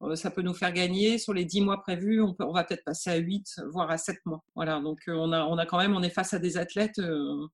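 A woman's voice: on the low side, fairly even intonation, brisk, few pauses. An earnest woman explaining her project in a casual podcast conversation. She talks in long, explanatory stretches with frequent fillers. Her voice stays plain and conversational. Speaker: project founder, guest.

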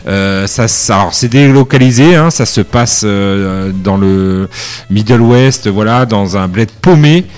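A man's voice: fairly low pitch, measured pace, darkish tone, smooth. A man chatting casually about films and cartoons as podcast co-host, hesitant with many fillers.

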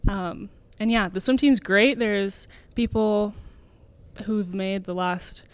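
A woman in her twenties, a swimmer being interviewed, talking casually with many fillers and quick laughs. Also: medium pitch, smooth, dry recording. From Canada. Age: 20s. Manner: chatty, filler-heavy, laughs often. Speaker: guest, elite swimmer.

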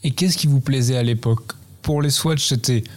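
Intervieweur de podcast, voix moyenne, informel. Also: male voice, mid-range voice, moderate pace, a little mellow. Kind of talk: conversational interview questions.

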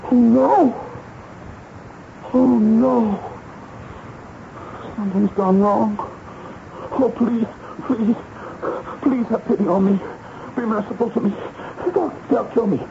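An actor playing a frightened, evasive young private in a melodramatic radio drama, stammering and hesitant. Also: male voice, very high-pitched, fairly even intonation, slow pace, vocal fry, pauses often, heavily acted. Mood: terrified pleading. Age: young adult. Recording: muffled old radio broadcast, background hiss.

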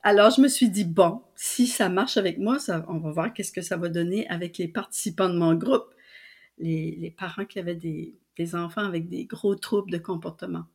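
A woman's voice: fairly low pitch, normal speed, a little mellow, husky. An older woman with a Canadian French accent from New Brunswick, telling her story as a guest, with frequent fillers and restarts. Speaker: guest, publisher and translator. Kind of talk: casual storytelling interview.

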